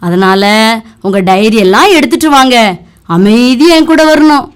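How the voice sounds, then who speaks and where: Woman as an animated high-voiced schoolteacher puppet. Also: a little slow, smooth. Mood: instructing. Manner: expressive, addressing children. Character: kindly, instructive female schoolteacher puppet. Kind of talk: children's puppet show acting.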